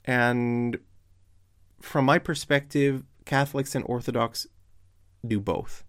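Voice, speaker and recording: A man talks slowly and deliberately in a casual video commentary, his voice hoarse and fraying as it gives out. He keeps pressing his viewers with pointed rhetorical questions, at an ordinary mid pitch. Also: steady tone. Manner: questioning, challenging the audience; slow and deliberate. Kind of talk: casual online Bible commentary.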